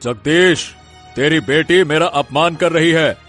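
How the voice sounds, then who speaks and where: A man's voice: on the high side, unhurried, a bit bright, soft background music. A man voicing a proud, domineering middle-aged landowner in a cartoon village story, pompous and easily offended.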